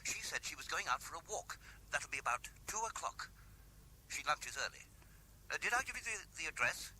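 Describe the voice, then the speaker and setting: An actor playing an anxious, well-to-do husband in a radio drama, speaking politely and insistently with a British accent. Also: male voice, on the high side, very animated, a little fast, bright, forceful tone, slight background noise, exaggerated voice, rough recording. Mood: worried, confiding. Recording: 1970s radio drama broadcast.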